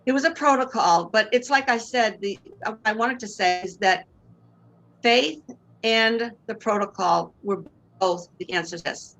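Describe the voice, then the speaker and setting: A woman's voice: on the high side, measured pace, creaky, fairly bright tone, rough recording. A woman in her sixties with a Boston accent, a guest on a video call telling her story with earnest faith. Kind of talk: personal testimony in interview. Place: home room indoors. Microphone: video call webcam.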